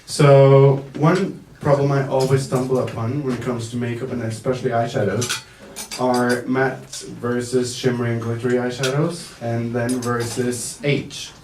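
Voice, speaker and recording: Slow-talking man in an echoey room. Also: medium pitch, little pitch movement. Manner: slow, hesitant, joking, many fillers. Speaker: makeup artist. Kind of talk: live makeup demonstration for press. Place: indoor press event room. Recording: echoey room, mic far off, faint noise.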